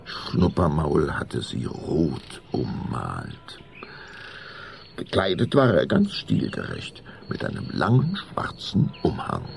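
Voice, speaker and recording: A man narrating a children's audio drama in a measured, suspenseful way. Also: extremely low pitch, wildly swooping pitch, creaky, slight background noise.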